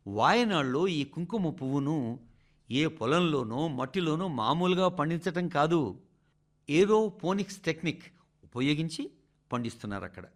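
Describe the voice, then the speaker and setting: Man, somewhat high-pitched, highly animated intonation, scripted radio address, rhetorical, direct to listeners. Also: normal speed. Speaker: radio reader of a translated public address.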